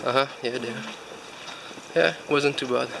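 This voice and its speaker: A man's voice: medium pitch, steady tone, measured pace. Chatty man on a noisy street. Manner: chatty, laughs often, loose non-native English. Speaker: vlog host. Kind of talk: casual restaurant review chat. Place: city street outdoors. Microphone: phone at arm's length selfie. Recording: clear street noise behind. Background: traffic and street ambience.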